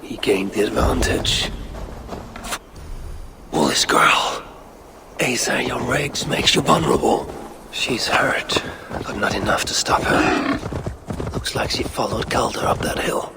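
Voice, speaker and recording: An actor playing a grim, sardonic, arrogant older Norse god in a video game, muttering in a gravelly, measured, haughty way. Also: male voice, mid-range voice, very noisy. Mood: grim musing. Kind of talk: dramatic video game voice acting.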